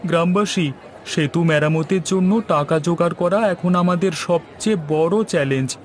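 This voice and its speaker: A man's voice: on the high side, normal speed, a faint crowd, close to an everyday voice. A man playing an earnest village leader in a cartoon, speaking in formal, rallying speeches. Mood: urging. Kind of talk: scripted cartoon dialogue.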